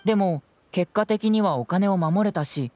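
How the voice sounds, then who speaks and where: A woman voicing a clever, composed young male detective in a game, with polished, measured, theatrical delivery. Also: mid-range voice, dark tone, no room sound, lightly acted. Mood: calm, consoling. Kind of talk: Japanese game voice acting.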